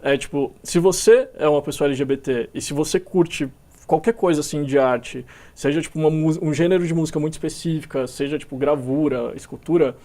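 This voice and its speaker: Mellow-voiced Brazilian man, relaxed and hesitant. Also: fairly high pitch, fairly quick. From Minas Gerais, Brazil. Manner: relaxed, hesitant, many fillers and restarts. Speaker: musician guest. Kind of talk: casual interview talk.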